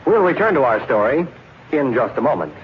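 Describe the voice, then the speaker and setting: A man's voice: mid-range voice, sing-song, fairly quick, slight background noise. A man announcing an old-time radio program in a dramatic style. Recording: muffled old radio broadcast. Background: loud music.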